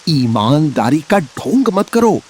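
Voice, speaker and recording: An actor playing a greedy, jealous, bullying eatery owner in a village cartoon story, accusing and blustering. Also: male voice, on the high side, sing-song, takes it slowly, darkish tone, breathy edge, heavily acted. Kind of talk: dramatic Hindi moral-story cartoon acting.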